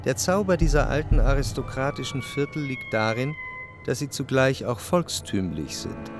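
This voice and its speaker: A man playing a reflective, jealous lover who narrates his memories in measured literary prose for a radio drama. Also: mid-range voice, wildly swooping pitch, over music. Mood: contemplative remembering.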